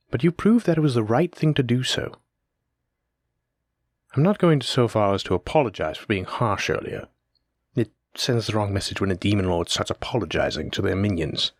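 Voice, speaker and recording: Man acting a commanding, low-voiced demon lord. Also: dry recording, clean studio sound. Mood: reassuring. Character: stern, teasing demon lord ruling hell. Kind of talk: scripted audio roleplay monologue.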